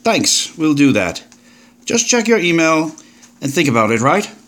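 An actor with a British accent playing a breezy, upbeat forty-year-old paleontologist full of old-fashioned slang. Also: male voice, a little high, big pitch swings, a little slow, a bit bright. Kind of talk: audio drama acting.

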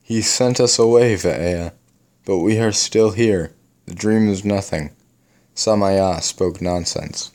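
A man acts a primeval man in an audio drama, with a fairly low voice. He is earnest and formal, wavering and quick to turn defensive. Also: darkish tone. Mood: uneasy.

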